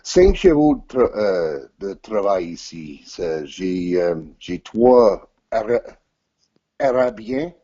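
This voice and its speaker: A man whose first language is English, speaking French haltingly in an interview over an audio call and searching for words with many restarts. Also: fairly low pitch, sing-song, dark tone, lo-fi sound. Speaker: interview guest, team manager.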